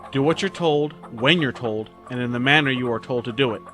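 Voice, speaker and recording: A man playing a gruff, impatient farm overseer in an audio drama, curt and grumbling. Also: medium pitch, brisk, pushed and bright, faint music behind, natural voice. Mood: bossy. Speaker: voice actor.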